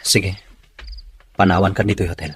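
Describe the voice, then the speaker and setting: A man's voice: deep voice, flat delivery, normal speed, slight background noise, lightly acted. A man acting a reserved, reluctant young village sweetheart in an Ilocano radio drama, matter-of-fact, with a Filipino accent. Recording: music under the dialogue. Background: music.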